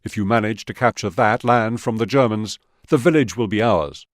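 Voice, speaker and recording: Soviet army officer guy acting, lowish voice, clipped orders, very dry studio sound, game briefing. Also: moderate pace, no room sound, natural voice. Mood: firm, businesslike. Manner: authoritative, clipped, instructional. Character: firm, businesslike Soviet army officer giving battle orders. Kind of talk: video game mission briefing.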